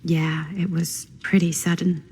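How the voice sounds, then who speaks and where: An actress playing a hesitant, guarded young woman in a video game, with a husky, creaky voice. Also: fairly low pitch, level tone, a little slow, a little mellow. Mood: hesitant, subdued. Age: young adult. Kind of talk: naturalistic video game acting.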